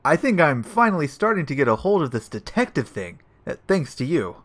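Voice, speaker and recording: A man's voice: on the high side, very animated, fairly quick, fairly bright tone. A man acts out a bumbling police detective, earnest and easily confused. His delivery swings up and down as he whines and puzzles over everything literally, in comic radio-play style. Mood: proud. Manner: befuddled, whiny, literal-minded. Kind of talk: comedic audio play acting.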